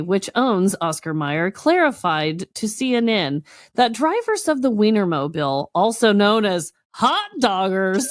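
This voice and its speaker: A woman's voice: medium pitch, no room sound, clean studio sound. An American woman co-hosting a podcast, chatting casually and reading articles aloud in a giggly, conversational way. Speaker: podcast co-host.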